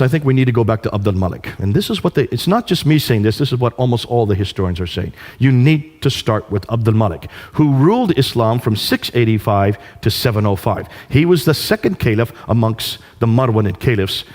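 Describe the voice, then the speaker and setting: A man's voice: medium pitch, darkish tone. A man giving a public lecture off the cuff in an emphatic manner, repeating phrases for stress and posing rhetorical questions. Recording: hall with long reverb.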